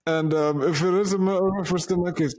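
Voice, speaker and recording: A man's voice: sits high, steady tone, fast, a little mellow. A man chatting casually as a participant in a podcast conversation. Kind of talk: casual chat. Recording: clearly noisy audio with clicks.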